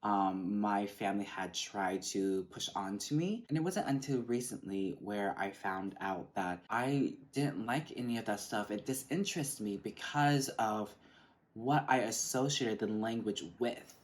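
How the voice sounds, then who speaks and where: A man's voice: fairly low pitch, lively intonation, measured pace. Earnest young man, talking to camera. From Vietnam. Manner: reflective, earnest, conversational. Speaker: vlogger. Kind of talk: casual mukbang talk to camera.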